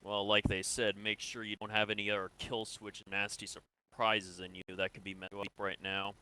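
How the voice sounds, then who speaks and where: A man playing a calm, reassuring military medic in an online game roleplay, casual and measured. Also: fairly low pitch, slight background noise, rough recording.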